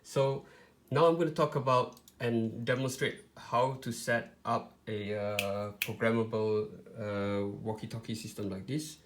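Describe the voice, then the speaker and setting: A man presenting an informal step-by-step tutorial, explaining in a hesitant, halting way with frequent pauses. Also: lowish voice, steady tone, a little hiss or hum. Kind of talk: informal step-by-step product demonstration.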